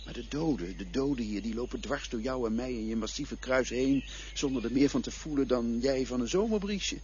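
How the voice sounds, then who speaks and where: A man's voice: mid-range voice, animated, a little fast, a little hiss or hum, close to an everyday voice. A man playing a cryptic guide of the dead. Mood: mocking. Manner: patient, lecturing, quietly mocking. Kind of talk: radio play acting. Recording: dull lo-fi old radio recording.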